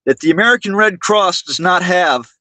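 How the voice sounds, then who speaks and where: Indignant man with a high-pitched voice. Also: pushed and bright, no room sound. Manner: indignant, halting with repeated words. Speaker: livestream host. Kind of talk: livestream monologue to viewers.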